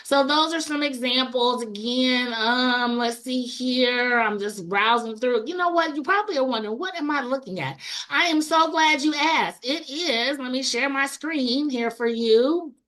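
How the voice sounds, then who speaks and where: A woman with a hoarse voice left over from a cold, hosting a weekly marketing lesson. She reads example prompts aloud and breaks them up with casual asides and "right?". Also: sits high, unhurried, a bit bright. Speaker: online marketing coach and show host. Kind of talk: weekly instructional livestream.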